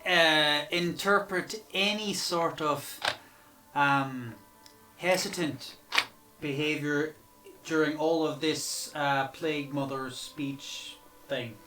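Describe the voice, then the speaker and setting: A man playing a tabletop roleplaying game, chatting casually in a halting way and repeating words as he goes. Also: a little high, fairly even intonation. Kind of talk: casual live-play game chat, partly in character. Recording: roomy, distant mic, music behind. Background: music.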